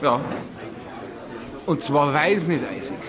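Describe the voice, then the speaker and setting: An actor with a Bavarian accent playing a stubborn, sarcastic bridegroom arguing in a dialect radio play. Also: male voice, a little high, sing-song, slow pace, a crowd in the background. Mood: stubborn, insistent.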